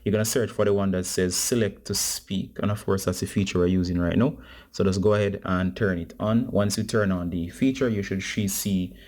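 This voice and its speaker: A man's voice: low-pitched, fairly even intonation, fairly quick, darkish tone. A man hosting a casual step-by-step phone tutorial, speaking informally and instructively to his viewers. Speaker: tech tutorial host.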